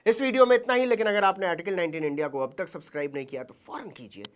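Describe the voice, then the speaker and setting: A woman's voice: fairly low pitch, very fast. A woman delivering satirical political commentary as a news commentator, with a mocking, theatrical delivery.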